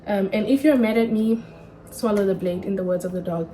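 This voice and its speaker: A woman's voice: mid-range voice, a little fast, mellow, slight background noise, low-quality recording. A woman in her twenties from Namibia, chatting candidly and casually to camera in a sit-down vlog, full of slang. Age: 20s. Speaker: vlogger.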